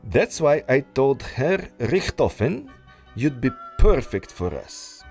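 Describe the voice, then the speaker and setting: Brief: actor doing a smooth mastermind villain, unhurried, theatrical swoops in pitch, soft music under. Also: male voice, sing-song, full character voice. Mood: silky menace. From Russia. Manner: smooth, silky, menacing, slow and deliberate. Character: suave treacherous male scientist villain, exiled aristocrat. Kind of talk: pulp adventure audio drama.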